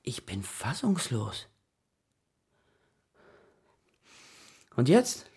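Genervter Vater, belegte Stimme. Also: male voice, medium pitch, wildly swooping pitch, takes it slowly, vocal fry, a little mellow, slightly rough, exaggerated voice. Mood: incredulous, angry. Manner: scolding, impatient. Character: exasperated, stern father of a young girl. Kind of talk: children's radio play acting.

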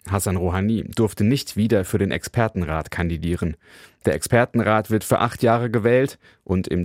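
A man filing a scripted radio news report as a correspondent, measured and matter-of-fact. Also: low voice, darkish tone, no echo, studio quality.

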